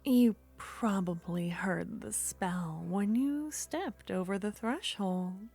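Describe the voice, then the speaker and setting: A woman voicing a flirtatious, secretive female witch in an ASMR roleplay, speaking in slow, breathy whispers. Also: mid-range voice, warm tone, soft background music, exaggerated voice. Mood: coaxing.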